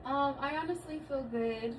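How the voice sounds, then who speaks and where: A woman's voice: sits high, moderate pace. A woman of thirty chatting casually and thoughtfully, with plenty of fillers. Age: 30s. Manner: relaxed, thoughtful, speaks with many fillers. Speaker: guest, daughter. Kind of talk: casual family chat. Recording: distant mic with clear background noise.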